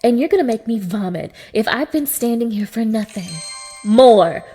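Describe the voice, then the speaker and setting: A woman's voice: fairly high pitch, animated, normal speed, mellow, faint music behind, lightly acted. Woman acting a sneering, contemptuous dominatrix. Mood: furious, disgusted. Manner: sneering, scornful, swearing, swinging from breathy murmurs to raised snaps. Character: cruel, contemptuous young dominatrix belittling a submissive man. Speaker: audio roleplay performer. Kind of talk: intimate ASMR roleplay acting.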